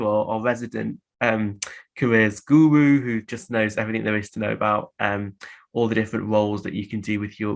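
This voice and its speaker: Man, panel host, mid-pitched, formal and measured. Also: a bit bright. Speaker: panel moderator. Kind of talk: introducing a panel.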